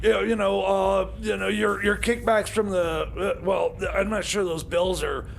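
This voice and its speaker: A man playing an excitable, scatterbrained time machine mechanic in radio comedy, pleading in stammers and repeats with a strained voice. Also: high voice, moderate pace, creaky, slight background noise. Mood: insistent, pleading.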